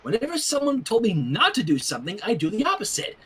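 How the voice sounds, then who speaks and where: A man's voice: high voice, huge pitch swings, fast, fairly bright tone, husky, voice pushed up, exaggerated voice. A man voicing a brash, cocky young woman with a casual, confident and slangy way of talking in a story reading.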